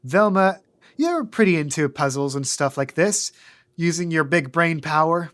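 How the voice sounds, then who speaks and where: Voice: medium pitch, lively intonation, a little slow. A game master narrating and refereeing a tabletop role-playing podcast.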